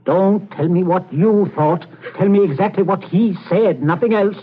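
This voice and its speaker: A man's voice: high voice, normal speed, husky. An actor playing a stern, domineering middle-aged father, commanding and impatient, in a 1940s radio drama. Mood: harsh, impatient. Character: stern, domineering father coldly interrogating his daughter. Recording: tinny, band-limited 1940s radio broadcast.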